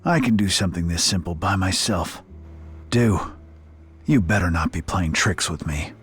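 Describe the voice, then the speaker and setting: An actor voicing a gruff, stoic and defiant rabbit fighter in a video game, terse and sardonic. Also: male voice, low voice, moderate pace, a bit bright, faint music behind. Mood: defiant, curt.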